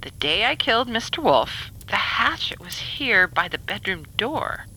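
A woman voicing a bold young woman who killed a wolf; plucky and quick to cry out in alarm. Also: mid-range voice, very animated, bright, forceful tone, slight background noise, heavily acted, low-quality recording. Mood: defiant. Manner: plucky, quick to panic, cries out.

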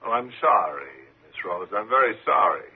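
An actor playing an outwardly obliging but quietly menacing night elevator operator in a 1950s radio thriller, speaking plainly and with casual politeness. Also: male voice, lowish voice, very animated, moderate pace, creaky, breathy edge, heavily acted. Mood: apologetic. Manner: plain working-man speech, casually polite. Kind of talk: 1950s radio suspense acting. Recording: muffled, noisy 1950s radio recording.

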